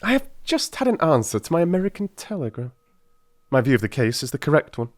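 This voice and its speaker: A man's voice: a little high, very animated, fast, no room sound, voice pushed up. A man voicing a brilliant, confident detective in a dramatised audiobook, with theatrical, emphatic delivery. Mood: brisk, commanding. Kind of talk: dramatised audiobook character acting.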